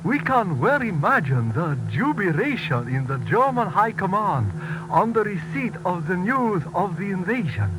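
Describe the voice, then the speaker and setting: High-pitched smug wartime propaganda official. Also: male voice, big pitch swings, unhurried, rough recording. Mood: smug, mock-polite. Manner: formal, mock-courteous. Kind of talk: 1940s radio drama caricature. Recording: old radio recording with music.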